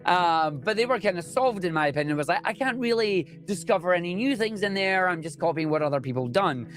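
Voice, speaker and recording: A man's voice: high voice, expressive, brisk, a bit bright, soft background music. A young man with a Scottish accent, a speedrunner chatting enthusiastically and jokily in an interview. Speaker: speedrunner guest. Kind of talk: casual interview chat.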